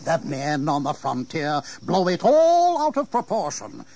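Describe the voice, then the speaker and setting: A man playing a confident, evasive colonial English official in an audio history drama, speaking in measured declamation. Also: on the high side, wildly swooping pitch, mellow, heavily acted. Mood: assured. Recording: 1980s audio drama with background noise.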